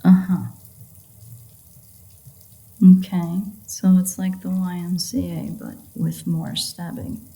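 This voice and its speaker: A woman acts out a playful vampire woman with a lowish, dark, relaxed voice. She is sardonic and flirty, with plenty of vocal fry. The delivery is intimate audio roleplay, free-spirited and foul-mouthed. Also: slow, long pauses. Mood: sarcastic, teasing. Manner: teasing, sarcastic, flirtatious, swearing.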